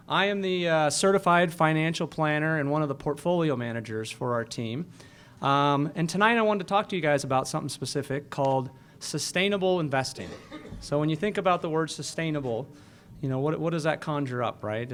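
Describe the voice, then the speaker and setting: Smooth-voiced man giving a business pitch. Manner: explanatory, asks rhetorical questions. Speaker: financial planner, guest presenter. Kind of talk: short business pitch to an audience.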